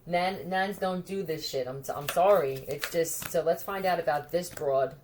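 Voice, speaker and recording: A woman's voice: on the low side, normal speed, a little mellow, slight background noise. A woman talking in a scattered, profane monologue, addressing unseen listeners directly. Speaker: video creator. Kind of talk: rambling monologue to camera.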